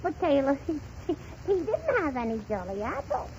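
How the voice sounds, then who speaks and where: A woman's voice: sits high, wildly swooping pitch, normal speed, a little mellow, full character voice. A woman playing a mischievous, naive little girl who pesters with questions in a 1930s radio comedy. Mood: innocent pestering. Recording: muffled, hissy 1930s radio recording.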